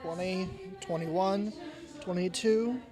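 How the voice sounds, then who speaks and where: Slow-spoken, meek clerk. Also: male voice, sits high, over music. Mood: absorbed, muttering. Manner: deferential, hesitant. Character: meek, timid, kindly male clerk to a miser. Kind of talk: old-time radio drama acting.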